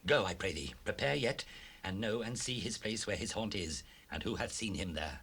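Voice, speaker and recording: An actor playing a wrathful, suspicious king in a dramatized Bible recording, husky and imperious. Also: fairly low pitch, expressive, normal speed, fairly bright tone, slight background noise. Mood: quietly scheming. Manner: imperious, declamatory. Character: King Saul, wrathful, suspicious, commanding monarch.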